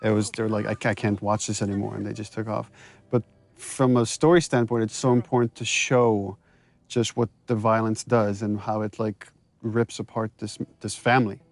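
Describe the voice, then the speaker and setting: A man's voice: fairly low pitch, level tone, moderate pace, vocal fry, no echo. A man giving casual, explanatory commentary as a game director, in a conversational way. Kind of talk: casual behind-the-scenes commentary.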